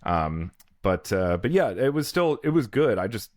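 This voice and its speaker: A man's voice: on the low side, sing-song, moderate pace, a little mellow, no echo, studio quality. A man chatting casually about films as a podcast co-host, in a rambling way full of filler phrases. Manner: rambling, peppered with "like" and "you know".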